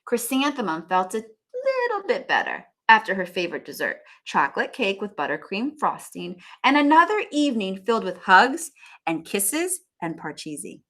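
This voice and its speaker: A woman reading a picture book aloud in an expressive, animated teacher's manner, with asides to young listeners. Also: mid-range voice, normal speed. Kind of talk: expressive children's story read-aloud.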